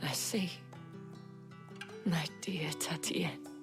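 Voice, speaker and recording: An actress playing a poised, worldly mature madam with a hushed, breathy voice, formal and measured, in a video game cutscene. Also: female voice, sits low, over music, heavily acted. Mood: sorrowful.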